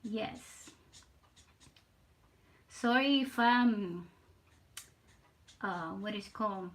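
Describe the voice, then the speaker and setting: Slow-talking woman with a Puerto Rican accent. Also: mid-range voice. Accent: Puerto Rican Spanish mixed with Southern US. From Puerto Rico. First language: Spanish. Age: older. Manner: halting, repeats words, soft-spoken. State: nervous. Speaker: livestream artist. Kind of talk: casual live stream chat while drawing.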